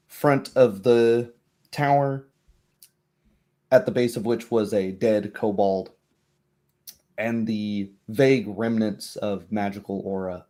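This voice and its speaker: A man narrating and recapping a tabletop roleplay as game master, often pausing to search for words. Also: lowish voice, warm tone.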